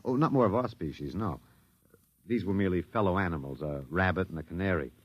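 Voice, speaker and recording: A man's voice: low voice, animated, fast, breathy edge, dry recording. A man playing a wry, detached academic in an old radio drama, sardonic and musing, with a slightly hesitant delivery. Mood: dry, explanatory. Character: wry, detached male academic, captive of aliens. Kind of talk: 1950s radio drama acting. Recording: dull, dry vintage radio recording.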